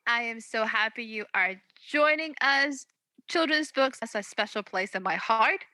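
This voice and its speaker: Bright, upbeat woman interview host. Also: a little high, lively intonation, a little slow, dry recording. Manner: upbeat, enthusiastic. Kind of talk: hosting an author interview.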